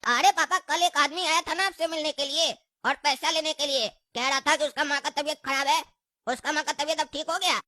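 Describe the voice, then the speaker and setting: A cartoon pumpkin boy who loves to stir things up. The voice is high and sharp, pert and argumentative. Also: steady tone, a little fast, dry recording, studio quality. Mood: nosy. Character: meddling, stirring pumpkin kid, a son. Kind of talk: Hindi cartoon dialogue.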